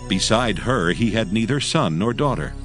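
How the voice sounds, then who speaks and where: Low-voiced solemn male scripture narrator. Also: lively intonation, fairly bright tone, soft background music. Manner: solemn, measured.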